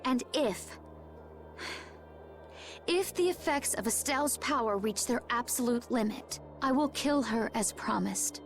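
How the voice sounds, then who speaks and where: Casting: poised young female fighter in a game, high voice, resolute yet gentle, faint music behind. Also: lively intonation. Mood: earnest resolve. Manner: measured, poised, earnest.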